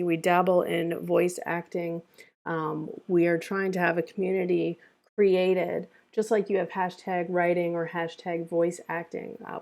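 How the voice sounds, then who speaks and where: A woman's voice: lowish voice, creaky, a little mellow. A woman casually hosting and organizing an online table read over a group voice chat.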